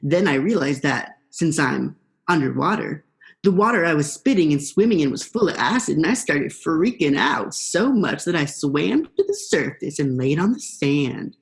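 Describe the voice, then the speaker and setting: A woman's voice: low-pitched, expressive, normal speed, creaky. A young woman in a student radio play, playing a laid-back, vengeful male eel with a casual, slangy drawl. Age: young adult.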